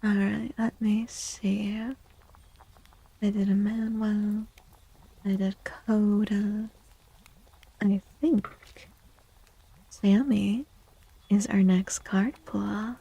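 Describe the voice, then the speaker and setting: A soft-spoken woman streaming ASMR, talking gently in a husky, breathy voice that often drifts into whispers, with playful, quaintly formal wording. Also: medium pitch, fairly even intonation, very slow, long pauses, poor audio. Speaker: live streamer and tarot reader.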